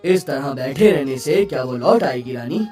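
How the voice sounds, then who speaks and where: A man's voice: medium pitch, lively intonation, normal speed, a little mellow, lightly acted. A man voicing a concerned, reassuring king who speaks to his queen in a cartoon story. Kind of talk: Hindi cartoon story dialogue. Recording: distant sound with faint music.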